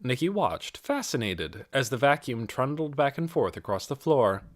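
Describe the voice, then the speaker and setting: Expressive man reading an audiobook. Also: medium pitch, normal speed. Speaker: audiobook reader. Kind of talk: scripted storytelling narration.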